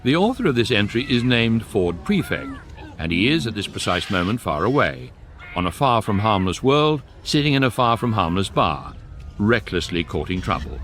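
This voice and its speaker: Measured British sci-fi comedy narrator: lowish voice, deadpan, varied intonation. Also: male voice. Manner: deadpan, precise. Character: dry, measured male guidebook narrator of space comedy. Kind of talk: scripted narration, radio comedy.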